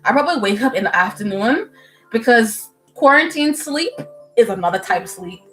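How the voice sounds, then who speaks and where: A young woman from the Bahamas, a medical student, chatting casually with viewers on a livestream at home, animated and full of fillers and laughs. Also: on the high side, moderate pace, rough recording. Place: indoors at home. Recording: soft music playing behind.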